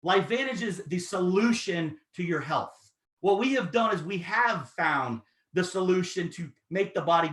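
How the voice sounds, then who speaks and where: A man in his thirties giving an energetic, persuasive sales pitch, talking straight to his audience. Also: echoey room. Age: 30s. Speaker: network marketing presenter.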